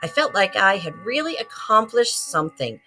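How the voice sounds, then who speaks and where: Animated woman reading a storybook over music. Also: medium pitch, normal speed, low-quality recording. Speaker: librarian reading a picture book aloud to students. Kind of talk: expressive children's storybook narration. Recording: loud music behind the voice. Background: musical soundscape.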